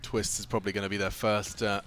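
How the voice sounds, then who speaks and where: A man's voice: fairly low pitch, flat delivery, fast talker, a bit bright, a little hiss or hum. A man commentating live on a video game match, mixing play-by-play with casual banter. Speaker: esports commentator.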